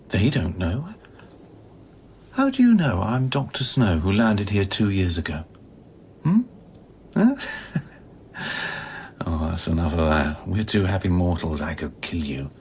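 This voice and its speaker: An actor in a radio play, playing a bitter, volatile space-station scientist who philosophizes intensely and haltingly, dropping at times toward a breathy near-whisper. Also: male voice, sits low, a little mellow, a little hiss or hum, exaggerated voice. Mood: taunting, menacing. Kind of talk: dramatic radio play acting.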